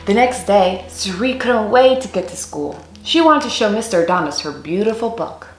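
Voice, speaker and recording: A woman's voice: medium pitch, expressive, normal speed. Roomy-sounding young woman reading a storybook. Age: young adult. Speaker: storyteller reading a children's picture book aloud. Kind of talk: expressive scripted story read-aloud. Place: small room indoors. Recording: roomy echo, faint music underneath.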